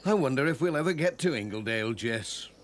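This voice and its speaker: A man's voice: medium pitch, expressive, a little fast, a little hiss or hum, lightly acted. A man voicing a cheerful, obliging village postman for a children's cartoon, polite and folksy, with a British accent. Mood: mildly hurried.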